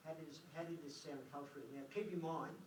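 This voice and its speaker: A man born in Hungary giving an informal talk to a group as a recruiter, lively and acting out interview exchanges. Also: a little high, quick pace. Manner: animated, acts out interview dialogue. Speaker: recruiter and presenter. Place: meeting room at a live event. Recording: echoey room from far off, faint noise.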